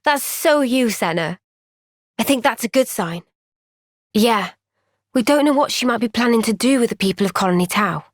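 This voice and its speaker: A woman playing an earnest, gentle young female soldier in a video game cutscene, speaking with measured, sincere resolve. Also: a little high, expressive, dry recording, clean studio sound. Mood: gentle, reassuring.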